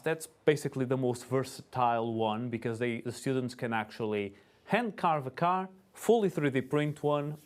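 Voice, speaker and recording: Man, Portuguese accent, rules official, explanatory, some pauses, mid pitch. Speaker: rules official and race announcer. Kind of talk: live event commentary.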